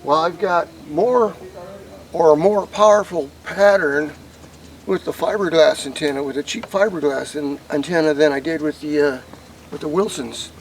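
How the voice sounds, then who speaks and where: An American man with a slightly high, creaky voice talks informally, as if working things out aloud while he shows his gear. His delivery is casual and rambling, and there is clear background noise around him. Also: moderate pace, low-quality recording. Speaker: hobbyist vlogger demonstrating CB radio gear. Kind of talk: casual rambling demonstration to camera.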